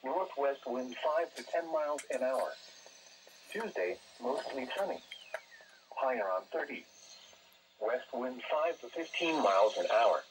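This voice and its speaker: A man's voice: medium pitch, lively intonation, a little slow, long pauses, slightly rough, no echo. Male forecast announcer over a noisy radio. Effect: heard through a small radio speaker. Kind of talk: scripted weather forecast reading. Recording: noisy weather radio reception, distant.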